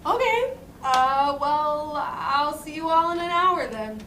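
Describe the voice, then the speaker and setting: A woman's voice: sits high, expressive, slow, pushed and bright, slight background noise, full character voice. A woman at a comedic table read playing a male movie comedy star, the group's casual, teasing leader. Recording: echoey room, distant mic, music behind.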